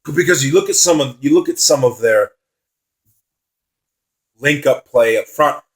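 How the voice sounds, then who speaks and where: A young man talks through football results in a loose solo vlog. His voice has gone a bit dry and raspy from talking at length. He speaks with eager enthusiasm, often repeating a phrase to stress a point, at an ordinary male pitch. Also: measured pace. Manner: enthusiastic, repeats himself for emphasis. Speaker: football vlogger. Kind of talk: casual solo reaction commentary.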